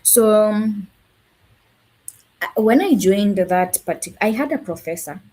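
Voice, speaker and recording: A woman's voice: mid-range voice, animated, measured pace, dark tone, breathy edge, rough recording. A woman from Kenya, a language educator, speaking as a guest in a live online conversation and searching for words with many fillers. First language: not Swahili. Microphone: video call.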